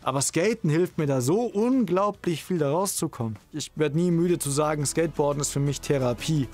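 A man's voice: a little high, brisk, darkish tone, soft background music. A man, a skateboarder, speaking earnestly and reflectively in a documentary interview.

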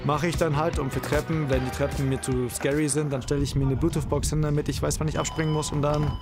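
A man's voice: a little high, level tone, quick pace, music in the background. A man, a skateboarder, speaking earnestly and reflectively in a documentary interview.

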